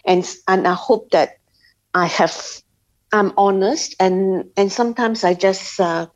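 A woman from Singapore telling her personal faith story as an interview guest, speaking haltingly with many pauses and restarts. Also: mid-range voice. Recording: slightly dull lo-fi sound.

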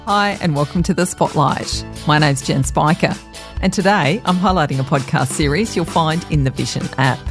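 A woman hosting a radio segment, reading a scripted introduction in a friendly, steady way. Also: low voice, normal speed, faint music behind. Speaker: program host.

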